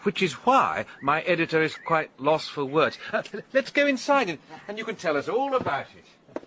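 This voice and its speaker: A man's voice: a little high, very animated, normal speed, pushed and bright, a little hiss or hum. An actor playing a reluctant, sardonic middle-aged man, a sleuth's wry and fussy sidekick in a radio drama. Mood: flustered.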